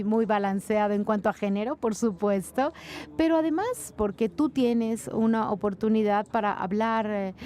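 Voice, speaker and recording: A woman giving live spoken interpretation of a conference panel, brisk, with frequent fillers. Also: medium pitch, mellow, a little hiss or hum. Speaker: simultaneous interpreter.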